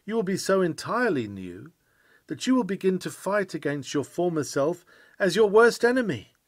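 Male sermon reader, fairly high-pitched, emphatic and earnest, old-fashioned dramatic delivery, close dry sound. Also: very animated, moderate pace, dry recording.

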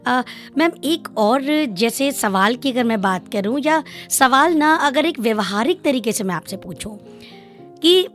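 A woman's voice: high-pitched, lively intonation, unhurried, soft background music. A young woman interviewing formally and politely on a close studio microphone. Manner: polite, deferential. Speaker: radio interviewer. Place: small acoustically treated radio studio. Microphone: close studio microphone on a desk stand.

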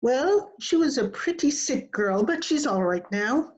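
A woman's voice: high-pitched, expressive, unhurried, darkish tone. A woman playing a cheerful, matter-of-fact vet in old-time radio comedy style over a video call. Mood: breezy. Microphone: video call computer mic. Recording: slightly dull video-call audio.

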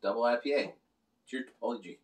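A man's voice: mid-range voice, fast. A middle-aged man rambling and joking through a casual beer review, recorded indoors by a camera across the table. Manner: animated, joking, gestures a lot while talking. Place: small room lined with beer shelves, indoors. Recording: roomy, slightly distant sound.

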